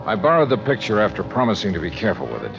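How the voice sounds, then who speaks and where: Johnny Dollar, lively low-voiced radio detective. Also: male voice, very fast, gravelly, music in the background, heavily acted. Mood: brisk narration. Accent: American. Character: Johnny Dollar, cool probing male insurance investigator, narrating. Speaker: detective lead and narrator. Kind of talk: 1950s radio detective drama acting. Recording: muffled, noisy old radio recording.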